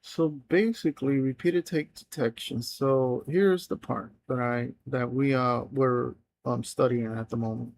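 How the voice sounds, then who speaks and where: A man whose first language is Spanish talks through an app plan on a live coding stream. His delivery is halting, full of restarts and unfinished phrases. He sits at a mid pitch for a man, and the close, dry sound has almost no room in it. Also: dry recording. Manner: halting, reads plans aloud with many restarts.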